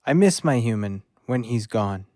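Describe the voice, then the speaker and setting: An actor playing an eager, devoted pet dog in an audio play, speaking in short, simple phrases. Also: male voice, mid-range voice, expressive, moderate pace, heavy background noise, lightly acted. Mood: wistful. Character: eager, devoted pet dog watching over his human. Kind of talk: audio drama acting.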